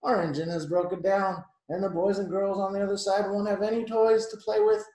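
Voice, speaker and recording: A man voicing excitable little dolls and toys from a storybook, in a childlike, pleading manner. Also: high voice, fairly quick, echoey room, voice pushed up, lightly acted, poor audio.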